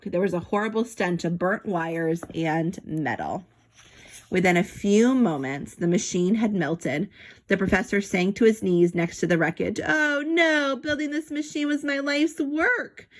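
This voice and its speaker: A woman reads a children's storybook aloud in a mid-pitched voice. Her delivery is animated and expressive, with drawn-out words for emphasis and little spoken sound effects. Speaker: storybook reader.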